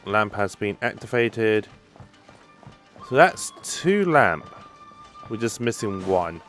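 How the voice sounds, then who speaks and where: A man gives casual video game commentary with a lively, swinging pitch. He thinks aloud and reacts as he plays, over a light bed of music. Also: slow. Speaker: gaming video host.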